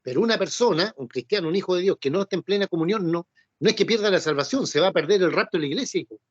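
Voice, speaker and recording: A man's voice: sits high, lively intonation, rapid-fire, breathy edge, no echo. Man, Bible study teacher, rhetorical questions, dull lo-fi sound. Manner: conversational, repeats himself and asks rhetorical questions. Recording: dull, dry lo-fi recording.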